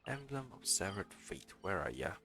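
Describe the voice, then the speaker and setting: Animated young man with a bright voice. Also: low-pitched, moderate pace. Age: young adult. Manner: animated, chatty, reacting to gameplay. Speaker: game livestreamer. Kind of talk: casual livestream chat while playing. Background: video game music.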